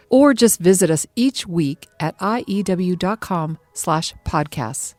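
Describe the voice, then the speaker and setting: A woman's voice: on the low side, a little mellow, dry recording. An American woman hosting a podcast in a conversational, organized and practical way, addressing listeners directly. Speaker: podcast host.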